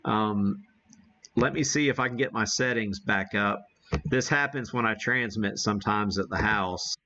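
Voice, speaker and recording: A man's voice: lowish voice, measured pace, pushed and bright, muffled recording. An American man hosting a casual live radio demonstration, thinking aloud in a folksy way. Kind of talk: casual live-stream chat while operating.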